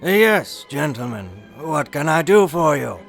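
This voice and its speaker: A man playing a genial, self-assured gentleman under suspicion in a period mystery audio play, polite and confident in his answers. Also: a little high, big pitch swings, unhurried, creaky, faint music behind, heavily acted. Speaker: actor in audio drama.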